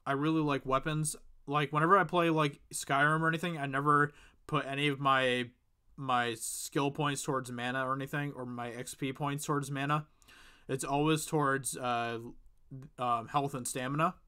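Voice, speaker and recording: A man's voice: mid-range voice, steady tone, moderate pace, pushed and bright, clear, resonant tone. A young man with a Michigan accent chatting casually with viewers as the host of a live stream. He rambles, uses a lot of fillers, and sounds slightly whiny. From Michigan, USA. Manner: rambling, with many fillers and restarts.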